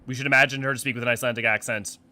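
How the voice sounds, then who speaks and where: A man's voice: mid-range voice, steady tone, rapid-fire, pushed and bright, slight background noise. A man hosting a lore commentary, chatting casually, thinking aloud and reading descriptive text. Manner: chatty, thinks aloud with fillers and self-corrections. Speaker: lore channel host. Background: music.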